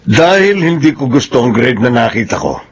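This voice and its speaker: A man's voice: mid-range voice, big pitch swings, normal speed, breathy edge. A man playing a strict, protective father in a Filipino radio drama. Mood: stern, scolding.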